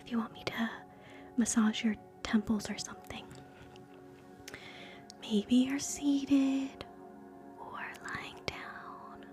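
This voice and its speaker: A woman hosting live ASMR in a soft, breathy whisper, gentle and soothing, moving between guided relaxation and quiet chat. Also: a little high, steady tone, takes it slowly, long pauses, soft background music. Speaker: ASMR streamer, host.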